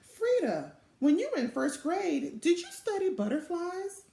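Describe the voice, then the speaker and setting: A woman's voice: very high voice, big pitch swings, a little slow, creaky, mellow, pitched above the performer's own voice, exaggerated voice. A woman voicing an eager, earnest little first-grade girl in a storybook reading. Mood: curious. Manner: earnest, proud. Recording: echoey room sound.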